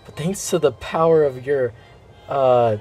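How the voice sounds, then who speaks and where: A man's voice: medium pitch, measured pace, husky, soft background music. A young man unboxing a gift package at his desk, swearing and laughing as he goes. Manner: excitable, swearing, laughing often. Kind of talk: casual unboxing vlog. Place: indoors at a desk.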